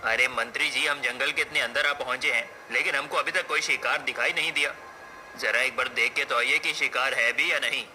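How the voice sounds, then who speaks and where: Male actor as proud cartoon king, regal phrasing, medium pitch, clear background music. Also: steady tone, a little fast, sharp tone, rough recording. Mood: commanding. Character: proud male king of a folk tale, formal and commanding. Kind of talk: cartoon story acting.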